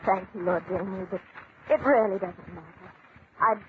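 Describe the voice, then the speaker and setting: An actress playing a modest young stage performer, polite and hesitant yet dignified and plucky, in old-time radio drama style. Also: female voice, mid-range voice, moderate pace, lightly acted. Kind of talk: 1940s radio acting. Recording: tinny, noisy old-time radio recording.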